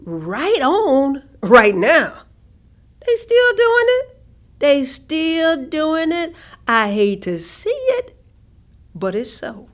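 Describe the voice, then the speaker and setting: Woman playing high, slow, troubled grandmother. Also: wildly swooping pitch, full character voice. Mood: pained, indignant. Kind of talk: staged reading of oral history testimony.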